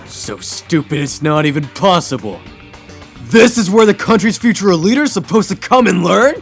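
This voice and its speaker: A man voicing a gruff, scornful, disbelieving teenage boy in an anime game fan dub. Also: high-pitched, very animated, normal speed, faint music behind, full character voice.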